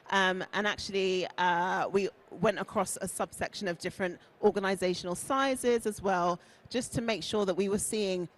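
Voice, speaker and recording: Woman presenter, booming voice, chatty and self-deprecating, conference stage talk. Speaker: conference speaker. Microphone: stage mic on a loudspeaker.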